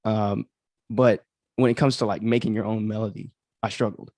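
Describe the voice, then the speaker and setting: Lowish-voiced man chatting casually, dry sound. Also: level tone, moderate pace, mellow, no echo. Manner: conversational, reflective, thinks aloud. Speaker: livestream host and author. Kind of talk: casual livestream commentary.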